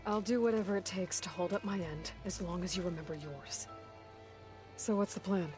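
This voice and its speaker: An actress playing a determined young hunter in a video game, direct and curious. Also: female voice, lowish voice, normal speed, lightly acted. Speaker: game protagonist. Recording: game audio with music behind.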